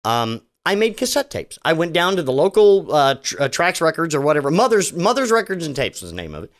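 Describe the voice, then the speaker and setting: A middle-aged man rambles through a casual solo podcast, sarcastic and full of restarts. His voice sits a touch high for a man and swings widely in pitch as he talks. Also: sing-song, a little fast. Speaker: podcast host.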